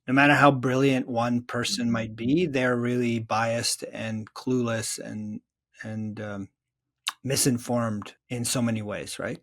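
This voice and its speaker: Male author guest: average pitch, conversational, thoughtful explainer who leans on key phrases. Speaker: author being interviewed. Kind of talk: conversational interview answers.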